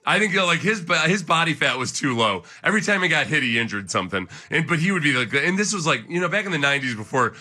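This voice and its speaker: A man's voice: a little high, sing-song, quick pace, few pauses, pushed and bright. A middle-aged man co-hosting sports radio, telling stories in a casual, jokey way full of exaggeration. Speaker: sports radio co-host, former pro football player.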